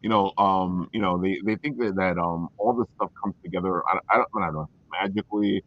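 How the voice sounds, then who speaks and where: A man hosting a casual chat show in an excitable, folksy way, addressing listeners directly. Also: low voice, a little fast. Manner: excitable, talks to listeners as folks, laughs often. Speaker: podcast host. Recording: dull lo-fi call audio.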